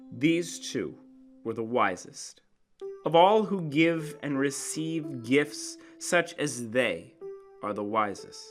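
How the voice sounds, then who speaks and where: A man narrating a literary story as a dramatic reading, with theatrical, deliberate emphasis. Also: mid-range voice, a bit bright.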